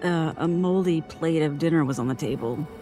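An actress playing a frightened woman haltingly recounting a childhood horror in an audio horror drama, sounding haunted. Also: low-pitched, natural voice. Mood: uneasy, haunted. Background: music.

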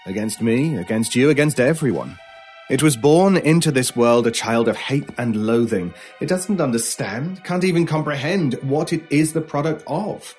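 A brooding male stranger played by an actor in an old-time radio horror play. He is a medium-pitched, intense expert on the uncanny, speaking deliberately with dramatic pauses over music. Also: expressive, darkish tone, natural voice. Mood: ominous, intense. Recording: music under the voice.

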